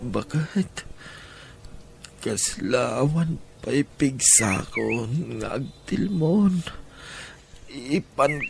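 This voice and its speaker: A man's voice: mid-range voice, lively intonation, extremely slow, creaky, pauses often, background noise, full character voice. A man playing an ailing, weary husband and father in an Ilocano audio drama, groaning a little, with an Ilocano Filipino accent. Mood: pained, weary. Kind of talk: Ilocano radio-style audio drama acting.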